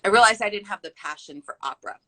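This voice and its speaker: A woman's voice: medium pitch, animated, fast talker, sharp tone. A young adult woman hosting a casual interview, affirming and asking probing questions. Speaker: podcast host.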